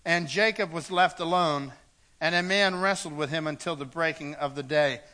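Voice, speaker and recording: A man's voice: a little high, moderate pace, pushed and bright. A man preaching a sermon in a church, earnest and repeating words as he goes, reading scripture aloud. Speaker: pastor.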